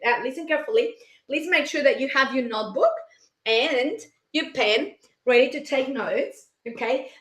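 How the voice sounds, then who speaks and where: A woman in her forties from Mexico with a Mexican accent, giving an enthusiastic, chatty motivational talk on a live stream and greeting viewers. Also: on the high side. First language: Spanish. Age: 40s. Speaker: life coach hosting a live session. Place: colorful home office. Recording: echoey room.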